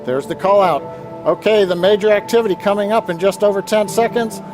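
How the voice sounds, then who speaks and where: Guy w a high voice calling a live launch, technical stuff, music behind him. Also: fairly quick. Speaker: launch webcast commentator.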